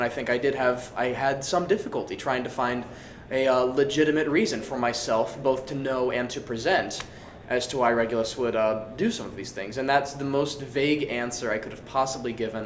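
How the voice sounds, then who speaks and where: A man's voice: medium pitch, fairly quick. A man in a casual group Q&A, talking as an actor answering fan questions in a jokey, sometimes hesitant way. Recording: roomy echo, faint background noise.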